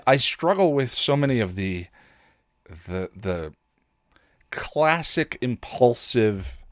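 A man hosting a podcast, talking casually in a halting, self-deprecating monologue as he thinks aloud. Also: fairly low pitch, dry recording, studio quality. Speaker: podcast host.

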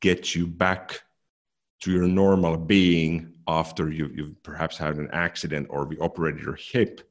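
Deep-voiced man, casual podcast talk. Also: flat delivery, a little slow. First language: Finnish. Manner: thoughtful, repeats words as he goes. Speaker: podcast co-host. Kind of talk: casual technical chat.

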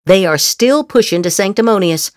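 A woman's voice: mid-range voice, expressive, normal speed, dry recording, studio quality. A woman podcast host doing a theatrical dramatic reading of social media posts, with exaggerated, emphatic inflection.

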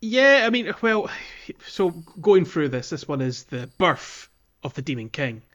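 A man's voice: fairly high pitch, sing-song, a little slow, fairly bright tone. A man hosting a casual gaming podcast, talking in an enthusiastic, geeky way. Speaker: podcast host. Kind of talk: casual game discussion podcast.

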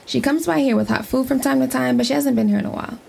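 Very creaky-voiced, easygoing camp leader. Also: female voice, high-pitched, quick pace, darkish tone, full character voice. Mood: concerned. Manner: casual, folksy, friendly. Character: caring, easygoing woman leading a riverside camp. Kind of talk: audio drama acting.

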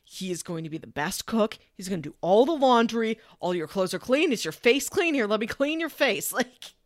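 A woman's voice: fairly high pitch, very animated, moderate pace. A woman co-hosting a podcast in casual chat, talking enthusiastically and descriptively. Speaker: podcast co-host reviewing anime.

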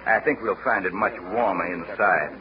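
Man playing a deep-voiced, stern Southern gentleman. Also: normal speed, crowd noise, close to an everyday voice. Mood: curt, formal. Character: stern, coolly menacing young Southern gentleman. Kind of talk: 1940s radio drama acting. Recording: muffled, noisy vintage radio broadcast.

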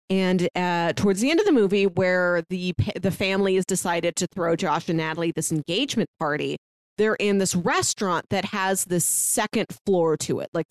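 A woman's voice: on the low side, no room sound. A woman co-hosting a podcast, talking casually about film in a chatty, detailed way with playful asides. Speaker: podcast co-host.

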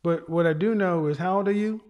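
A blunt man hosts a call-in show, cutting in and repeating himself. His big voice sits a little high for a man. He talks over callers with loud, insistent phrases. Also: a little fast, muffled recording. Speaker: show host. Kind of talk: live call-in show talk.

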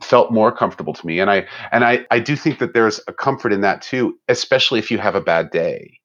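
Very deep-voiced chatty man. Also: animated, a little fast, a bit bright, highs cut off. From Germany. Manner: chatty, laughs often, many restarts. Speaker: orchestra musician. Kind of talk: casual interview talk.

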